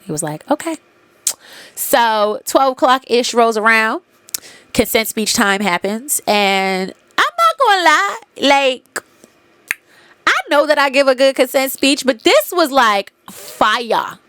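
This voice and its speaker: A woman's voice: sits high, takes it slowly, clean tone, dry recording. Woman host, congested, bluntly profane storyteller, very dry close sound. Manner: candid, profane, animated retelling with quoted dialogue. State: congested nose. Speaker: podcast host. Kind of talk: casual storytelling monologue.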